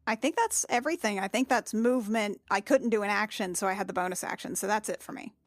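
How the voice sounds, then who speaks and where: A woman with a mid-pitched voice chats through her turn in a tabletop roleplaying game. She is informal and playful as she describes her character's moves. The sound is close and dry, with no room echo. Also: fast. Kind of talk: casual in-game chat, describing character's actions.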